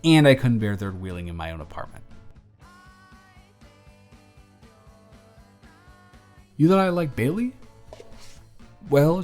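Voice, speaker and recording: A young man playing a friendly, smitten male barista in an intimate romantic audio roleplay, earnest, conversational and slightly flustered. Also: on the low side. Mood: teasing, candid. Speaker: voice actor.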